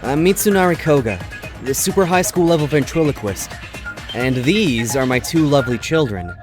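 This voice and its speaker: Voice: medium pitch, animated, normal speed, music in the background, lightly acted. An actor voicing a teenage ventriloquist student in an audio drama, with a formal manner. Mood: composed, proud.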